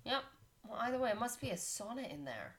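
A woman's voice: a little high, big pitch swings, fairly quick, a bit bright. A woman co-hosting a casual podcast, reading a story aloud and stopping to chat about it. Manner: reads story text, breaks off to chat and laugh.

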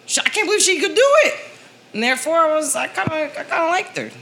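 A woman's voice: sits high, lively intonation, fast talker, a bit bright, slight background noise, pitched above the performer's own voice. A woman playing a boozy, lovelorn, shameless male police captain who rambles through sheepish excuses in an improvised radio-play parody. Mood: confessional.

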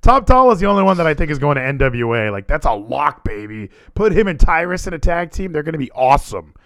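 A man talking casually and animatedly as a podcast co-host, full of quick asides. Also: a little high, big pitch swings, a bit bright, no room sound, studio quality. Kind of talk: casual wrestling chat.

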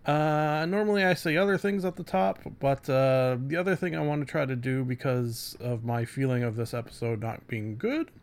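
A man's voice: mid-range voice, normal speed, hardly any pauses, smooth. A man hosting a casual solo podcast, rambling through reviews with self-deprecating asides. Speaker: podcast host.

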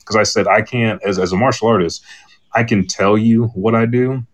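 A man's voice: lowish voice, steady tone, moderate pace. A man co-hosting a podcast, chatting casually and candidly in a rambling way, often retelling conversations as dialogue. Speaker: podcast host. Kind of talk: casual chat on a live podcast panel.

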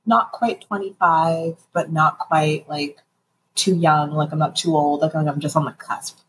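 A woman's voice: low-pitched, fairly even intonation, measured pace, slight background noise, low-quality recording. A woman guesting on a podcast, chatting frankly and giggling, with a lot of "like" in her speech. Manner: frank, giggly, heavy use of "like". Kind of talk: casual chat.

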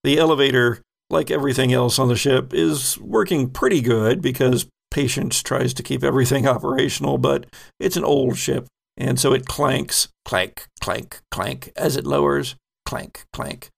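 A man narrating as game master of a tabletop role-playing game, with relaxed, rambling descriptions. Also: mid-range voice, normal speed, creaky, few pauses.

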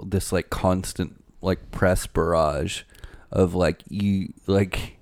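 A man's voice: deep voice, fairly even intonation, takes it slowly, darkish tone, no echo, studio quality. A man in casual conversation, rambling with lots of filler words. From born in Canada, grew up partly in Scotland and Venezuela. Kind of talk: casual chat.

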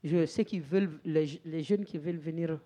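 A woman from Mali, answering questions on a panel in a halting way, repeating words and often saying "voilà". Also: low-pitched, mellow. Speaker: panel speaker, head of an education organization. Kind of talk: answering questions through an interpreter.

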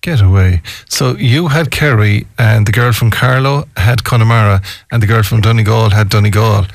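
Brief: radio host, man, chatty, gravelly low voice, dry studio. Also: moderate pace, dry recording. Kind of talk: radio interview.

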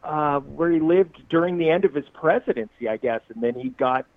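A man's voice: a little high, fairly quick, no echo. Jokey Californian man on a tinny line. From Southern California. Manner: rambling and jokey, laughs often. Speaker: guest musician. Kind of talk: casual podcast interview. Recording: tinny, dry phone-like line.

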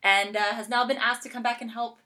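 Casting: female podcast host, informal and fannish, in relaxed conversation about TV with a guest. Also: a little high, a little fast. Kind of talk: casual chat about TV shows.

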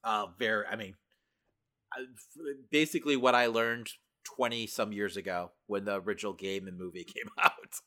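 A middle-aged man with a fairly low yet bright, punchy voice. He talks casually as a podcast co-host, putting questions and adding his own thoughts. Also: moderate pace. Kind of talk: casual interview-like chat.

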